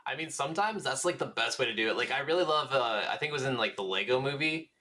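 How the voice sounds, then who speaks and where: Bright-voiced man co-hosting a casual podcast. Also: medium pitch, animated, quick pace. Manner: slangy, uses filler "like" and acts out quotes. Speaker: podcast co-host. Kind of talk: casual chat.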